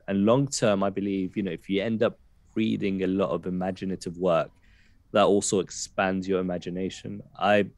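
A man's voice: low voice, no room sound. A man in his thirties with a West London accent, a poet talking casually on a video-call podcast, thoughtful and hesitant with many fillers. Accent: West London English. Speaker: poet guest. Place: home room, video call.

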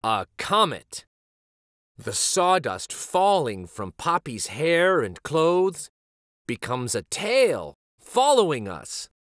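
A man narrates a children's storybook with hugely varied, swooping intonation. He takes his time over the phrases, and the recording is close and dry. His delivery is expressive and deliberate. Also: a little high, dry recording. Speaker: children's read-aloud host and storyteller. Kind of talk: expressive picture book narration.

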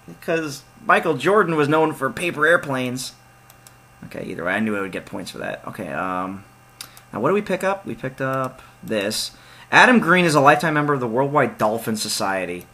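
A young man narrating a point-and-click game as he plays, his voice bright and lively, swinging up for jokey asides. He reads the on-screen text aloud in a casual, excitable way. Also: medium pitch, moderate pace. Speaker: let's play gamer. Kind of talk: casual let's play commentary.

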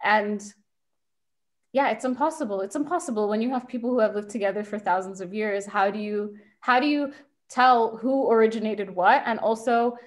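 A woman in her thirties talks on a video call from a home kitchen. She speaks quickly and reflectively, pausing often with fillers between her ideas. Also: a little high. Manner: quick, thoughtful, with many fillers. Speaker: invited speaker.

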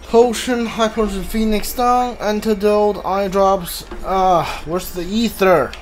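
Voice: medium pitch, fairly even intonation, takes it slowly, slight background noise, low-quality recording. A video game streamer giving casual playthrough commentary, muttering to themselves while thinking aloud. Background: game music.

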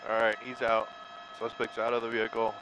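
A man's voice: on the low side, monotone, fairly quick, background noise. A man giving offhand live commentary on a police chase from a news helicopter, with fillers as he talks.

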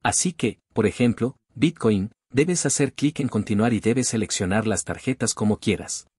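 A man with a low, slightly husky voice talks viewers through a screen-recording tutorial. He speaks casually, repeats himself, and keeps calling the audience "guys". The recording is close and dry. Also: normal speed, a little mellow, no room sound. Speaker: tutorial host.

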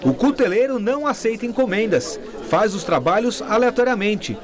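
A man's voice: high-pitched, animated, moderate pace, crowd noise. A young man with a Brazilian accent narrating a scripted TV news report as a reporter. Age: young adult.

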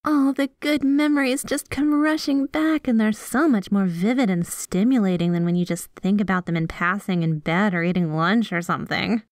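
A woman's voice: medium pitch, moderate pace, few pauses, no room sound, clean studio sound, close to an everyday voice. A woman playing a sweet, obsessively devoted yandere girlfriend in a scripted ASMR roleplay, gushing and bashful as she speaks to the listener. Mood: fond, teasing. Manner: gushing, giggly, teasing, bashful asides.

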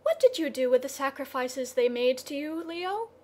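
A woman's voice: expressive, normal speed, a little mellow. A woman voicing a tender, impulsive young goddess in an earnest manner. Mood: regretful. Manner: high-pitched, earnest.

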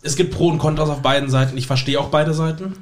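A man's voice: fairly high pitch, steady tone, fast. A man chatting casually as a guest magician on a podcast, telling stories. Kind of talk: casual podcast chat, anecdotes.

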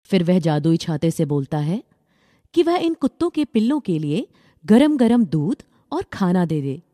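A woman tells a children's bedtime story as a scripted narration. Her voice is mellow and medium in pitch, with lively intonation, recorded dry and close. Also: measured pace, no echo.

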